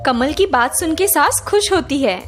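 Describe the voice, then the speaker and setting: A woman's voice: high-pitched, animated, normal speed, soft background music. A woman narrating a scripted cartoon story.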